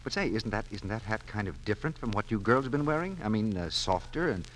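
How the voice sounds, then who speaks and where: A man's voice: fairly low pitch, expressive, fast, a bit bright. An American man announcing in 1940s radio style, with scripted sponsor banter. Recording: noisy old 1940s radio recording.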